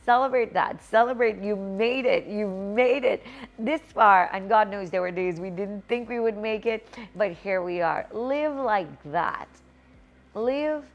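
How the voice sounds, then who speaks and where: A woman in her forties, a pastor, telling a personal story in a sermon and building up to lively exhortation. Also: mid-range voice. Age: 40s. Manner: storytelling, rising to exuberant exhortation.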